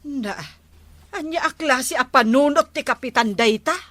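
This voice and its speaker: An actress playing a sharp, questioning village woman in a comedic radio drama, probing and challenging. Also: high-pitched, normal speed, no room sound.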